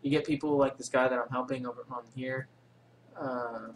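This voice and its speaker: A man talks through a game tutorial in an offhand, unscripted way, his pitch sitting in the middle of the usual range. He wanders between points, corrects himself and fills gaps with ums, and calls his own voice boring. A faint hiss sits under his desktop mic. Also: fairly even intonation, moderate pace, poor audio. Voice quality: says he has a boring voice. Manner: rambling, unscripted, many ums and self-corrections. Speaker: game tutorial channel host. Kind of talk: casual screen-recorded game tutorial.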